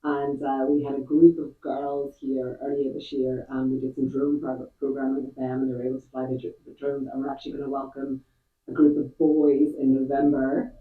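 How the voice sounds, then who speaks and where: A woman's voice: very deep voice, flat delivery, a little fast, phone-quality sound. A middle-aged woman answering interview questions in an earnest, explanatory way. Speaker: interviewee, company employee. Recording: echoey room, distant mic, band-limited sound.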